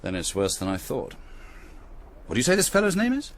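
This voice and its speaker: An actor playing a brisk, dry-witted aristocratic army general in a radio drama, clipped and commanding. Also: male voice, medium pitch, huge pitch swings.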